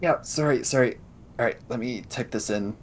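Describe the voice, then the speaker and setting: A man narrating and role-playing his character in a tabletop role-playing game. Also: normal speed. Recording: low rumble and background noise.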